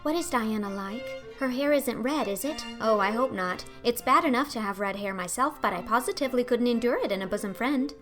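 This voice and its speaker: A woman's voice: a little high, fairly quick, few pauses, fairly bright tone, soft background music, natural voice. A woman voicing a dreamy, imaginative orphan girl in an audiobook, talking earnestly and effusively. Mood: hopeful, eager. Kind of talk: audiobook character acting.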